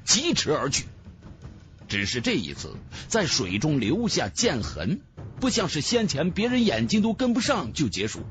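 A man's voice: a little high, a bit bright. A man narrating a fantasy audiobook in a dramatic, theatrical scripted style.

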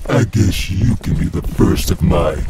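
A man voicing a sadistic demon creature in a horror audio story, savoring words in a husky, menacing growl. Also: deep voice, noisy background. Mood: gloating menace. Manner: slow, savoring, dark.

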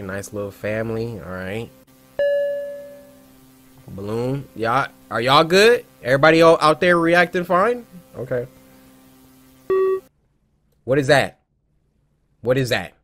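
A young American man hosts a horror reaction in a casual, slangy way. His mid-pitched voice is animated but takes its time. Faint music plays behind him. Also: slow, pauses often. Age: young adult. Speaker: reaction video host. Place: small foam-padded room, indoors.

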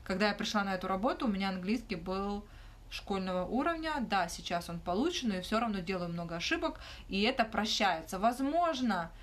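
A young woman hosting a livestream Q&A in a small room, talking casually into her phone and often pausing with fillers. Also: medium pitch, expressive. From Ukraine. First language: not Russian. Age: young adult. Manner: hesitant, frequent "uh" fillers and restarts. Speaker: livestream host answering questions. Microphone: phone held close, front camera.

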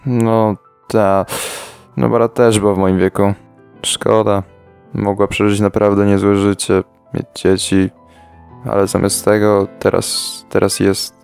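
Fan dub: man as a sarcastic, worn-out teen hero, flat delivery, low and smooth. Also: measured pace. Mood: fed up. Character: weary teenage boy fighter, fed up and sarcastic. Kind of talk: fan dub comedy parody. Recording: soft music underneath.

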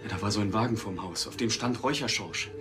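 A man's voice: fairly low pitch, fast, over music, lightly acted. An actor playing an earnest young man giving witness in a TV crime comedy, plain and matter-of-fact.

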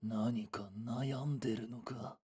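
A man playing a mysterious old homeless man with a husky, raspy voice and folksy old-fashioned speech in an audio drama. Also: mid-range voice, steady tone, takes it slowly, a little mellow. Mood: ominous, admonishing.

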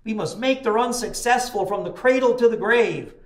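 A man's voice: high voice, moderate pace, reverberant. An older man preaching a midweek sermon in a small church, telling folksy stories with frequent restarts. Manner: folksy storytelling with repeated restarts. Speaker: pastor. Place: small church chapel, indoors.

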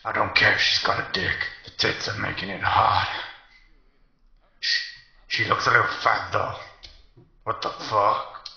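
Bright, creaky, lecherous anime schoolboy voiced by a man. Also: fairly low pitch, fairly even intonation, reverberant, full character voice. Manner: slangy, crude, halting ad-libbing. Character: crude, lecherous, dim-witted teenage anime schoolboy. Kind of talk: improvised parody anime fandub. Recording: dull lo-fi audio, music behind.

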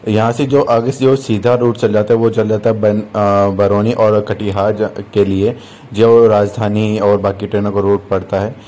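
Low mellow man explaining a train route. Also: little pitch movement, moderate pace, poor audio. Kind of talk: narrated train commentary.

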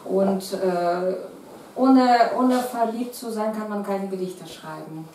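A woman's voice: mid-range voice, slow pace, darkish tone, a little hiss or hum. A middle-aged woman from Kazakhstan, Russian-accented German with many fillers and restarts, speaking as a poet in an interview at home. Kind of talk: interview answers. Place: living room with piano, indoors. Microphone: far camera. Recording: echoey room from a distance.

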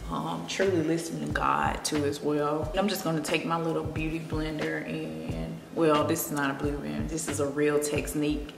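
A young woman with a Memphis drawl talks through her makeup routine in an easy, confiding chat. Her voice sits low, and the room rings with a long echo while music plays behind her. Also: vocal fry. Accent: Memphis Southern. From Memphis, Tennessee. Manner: relaxed, confiding, drifting between makeup steps and personal chat. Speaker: beauty vlogger. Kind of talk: chatty get-ready-with-me makeup talk. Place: home room with plants.

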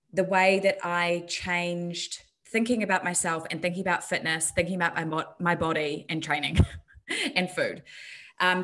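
Guest, female, thirties, New Zealand accent, lower pitch, candid and thoughtful, desk mic at home. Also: fairly bright tone. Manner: open, reflective, many ums. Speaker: guest, health and performance coach. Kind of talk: candid interview over video call. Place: bright room at home. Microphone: desk mic on video call.

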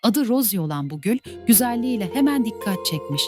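A woman narrating classic fairy tales for children in a soft, measured way. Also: medium pitch, very animated, over music. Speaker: storyteller. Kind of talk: scripted fairy-tale narration.

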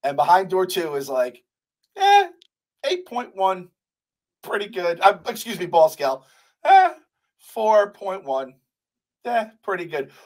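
A young adult man hosting a podcast, talking in animated, playful, swearing banter at a studio mic. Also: high-pitched, measured pace, pauses often. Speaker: podcast host. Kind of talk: casual chat. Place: studio room with poster wall. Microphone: large studio mic with headphones.

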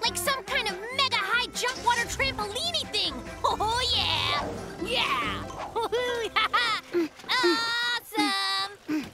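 A woman's voice: very high voice, lively intonation, takes it slowly, exaggerated voice. A woman voicing a boisterous, cocky young bear boy in a children's cartoon, bragging and exuberant. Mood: excited, boastful. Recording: music behind the voice.

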